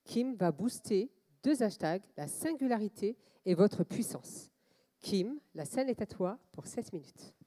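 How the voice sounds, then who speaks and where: A woman co-hosts a conference and introduces the next speaker in a slow, formal way. Her voice is very dark and mellow, at mid pitch. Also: lively intonation. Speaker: event co-host.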